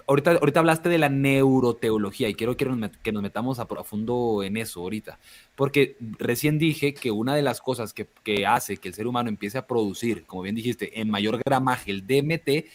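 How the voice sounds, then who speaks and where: A man co-hosts a live discussion at an average pitch. He thinks aloud, pausing and restarting his phrases. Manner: reflective, hesitant, many restarts. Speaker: podcast co-host.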